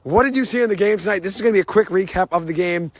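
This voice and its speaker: A young man hosting a sports podcast, rattling off stats in an excited, unscripted recap, his voice a little hoarse. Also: high-pitched, dry recording. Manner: excited, rapid-fire stat recitation. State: hoarse, says he lost his voice. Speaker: podcast host.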